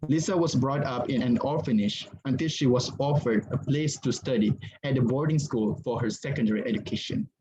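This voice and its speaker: A man reads a story aloud as a dramatic narrator. His voice sits at a medium pitch, and the recording is dull, with some background noise. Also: a little mellow.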